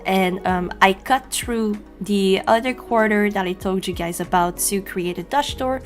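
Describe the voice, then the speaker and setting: A young woman from Quebec with a French-Canadian accent, a native French speaker, chatting casually in a voice-over as she draws, often pausing with ums and you-knows. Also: medium pitch, soft background music. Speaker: journaling channel host. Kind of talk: casual voice-over narration while drawing.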